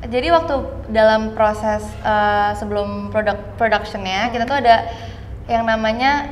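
A woman's voice: fairly high pitch, measured pace, echoey room, in a large hall, dull audio, a little hiss or hum. A young woman from Indonesia answering interview questions casually, mixing English words into her slangy talk. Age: young adult. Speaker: actress being interviewed. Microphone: clip-on lapel mic.

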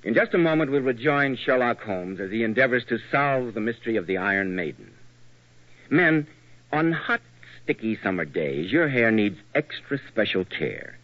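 A man's voice: mid-range voice, very animated, normal speed, dry recording. An American man announcing and reading sponsor copy in the polished style of 1940s radio. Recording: muffled old radio broadcast.

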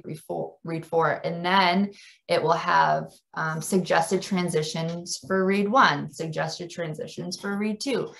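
A woman's voice: on the low side, creaky, a bit bright. A woman presenting an informal training workshop for teachers over a video call.

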